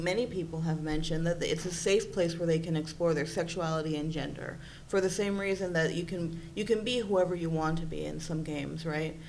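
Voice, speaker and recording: A woman's voice: on the low side, darkish tone, slight background noise, poor audio. A woman from Puerto Rico whose first language is Spanish, speaking earnestly on a convention panel in a hotel conference room. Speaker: panel speaker, psychology doctoral candidate.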